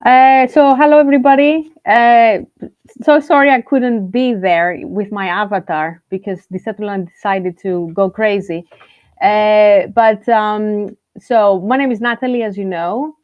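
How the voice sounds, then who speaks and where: A woman's voice: mid-range voice, unhurried, dry recording, highs cut off. A woman from Greece, a journalist and content creator, chatting casually as a guest with many fillers and restarts. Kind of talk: casual interview chat.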